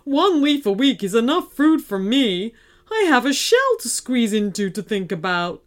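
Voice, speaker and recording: A woman's voice: high-pitched, big pitch swings, slow pace, full character voice. A woman doing a fretful talking snail. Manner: whiny, complaining, exclaiming.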